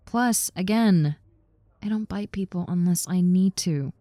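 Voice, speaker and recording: A woman as a friendly lamia roommate, mellow and close. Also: on the low side, measured pace, no room sound, close to an everyday voice. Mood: friendly, joking. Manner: casual, reassuring, teasing. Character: friendly, harmless giant snake-girl lamia roommate, young woman. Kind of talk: roleplay audio with personal attention, conversational acting.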